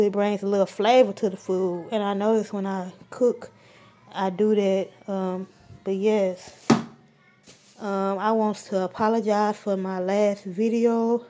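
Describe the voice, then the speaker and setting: Woman, casual home vlog, unhurried, soft dark tone, lots of ums. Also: mid-range voice. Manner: rambling, full of ums, warm shout-outs. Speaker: vlogger. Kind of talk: casual grocery haul vlog. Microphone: phone camera held while filming.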